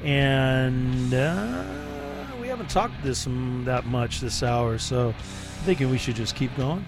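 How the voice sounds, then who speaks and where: A man's voice: mid-range voice, expressive, takes it slowly, over music. A man hosting a late-night radio show, chatting casually and rambling between songs with fillers and laughs. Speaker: radio DJ host.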